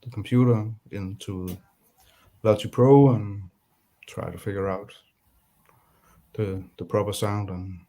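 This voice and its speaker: Danish-accented male guest, deep voice, hesitant, conversational. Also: fairly even intonation, mellow. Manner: halting, searching for words. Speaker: guest beat producer. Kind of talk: casual interview chat.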